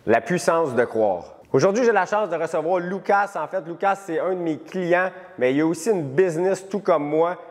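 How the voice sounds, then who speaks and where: A man with a Québécois accent hosting a casual podcast interview, chatty and quick to jump in with asides. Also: on the high side, expressive, faint music behind. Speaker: podcast host. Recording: long hall reverb.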